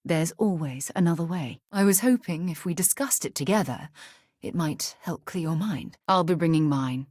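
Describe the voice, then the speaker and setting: A woman's voice: deep voice, moderate pace, darkish tone, no echo, clean studio sound. A woman playing an earnest, principled space explorer and leader in a video game, speaking in an articulate, composed way with formal phrasing. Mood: composed, purposeful. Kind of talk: video game character voice acting.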